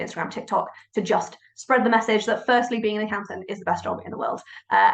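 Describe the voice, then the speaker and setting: Brief: enthusiastic woman webinar host, gravelly edge, chatty, roomy sound. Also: mid-range voice. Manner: bubbly, chatty, swears casually. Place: home office.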